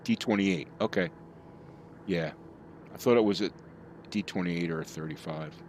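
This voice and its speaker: Low-voiced middle-aged guy, rambly casual streamer chat, faint engine hum behind. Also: moderate pace. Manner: rambling, chatty with live chat.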